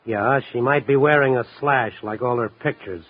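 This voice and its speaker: An actor playing a world-weary, wry newspaper reporter, narrating and acting in a 1950s radio drama. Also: male voice, medium pitch, normal speed, lightly acted. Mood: matter-of-fact. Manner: hard-boiled, wry. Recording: muffled vintage radio recording with music.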